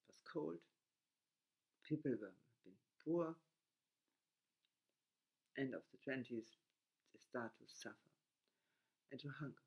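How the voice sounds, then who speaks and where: A man from Germany with a German accent, speaking haltingly in a reflective monologue with frequent restarts and broken grammar. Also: mid-range voice, creaky, low-quality recording.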